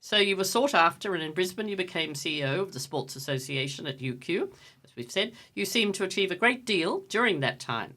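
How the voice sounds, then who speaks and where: A woman's voice: lowish voice, animated, a little fast, sharp tone. A woman hosting a radio interview show, introducing her guest and asking questions in a polished, enthusiastic way. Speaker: radio host.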